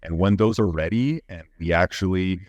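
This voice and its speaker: A man giving technical, explanatory interview answers as a company executive, measured and often halting mid-phrase. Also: sits low, a little mellow, dry recording, muffled recording. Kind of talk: explanatory interview answers about product engineering.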